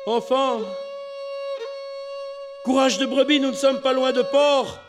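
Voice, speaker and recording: A man's voice: very high voice, fairly even intonation, slow, in a large hall, music in the background. A man voicing a devout, solemn lord who declaims to his shipmates, in theatrical storytelling. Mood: relieved encouragement.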